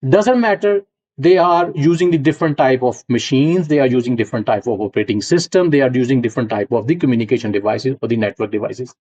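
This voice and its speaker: A man's voice: mid-range voice, fast talker, darkish tone, highs cut off. A middle-aged man from Punjab with a Punjabi accent, giving a university lecture and explaining ideas step by step while checking in with his students. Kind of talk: classroom lecture.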